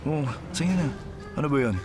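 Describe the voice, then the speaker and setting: A man's voice: fairly high pitch, moderate pace, over music, lightly acted. A man playing a charming, easygoing policeman in a radio drama, playful and casual. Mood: teasing, deflecting. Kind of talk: Filipino radio drama acting.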